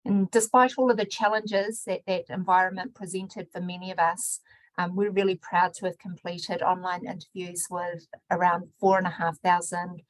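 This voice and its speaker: A woman opening and hosting a research webinar, measured, mixing in Māori greetings. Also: on the low side, level tone, dry recording, poor audio. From New Zealand. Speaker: webinar host and research director. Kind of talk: scripted webinar introduction.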